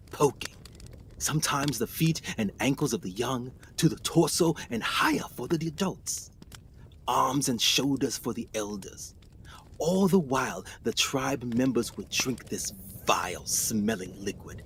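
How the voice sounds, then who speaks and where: A man acting in a radio play as an earnest, excitable explorer doctor, speaking formally with dramatic pauses. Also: medium pitch, a little hiss or hum.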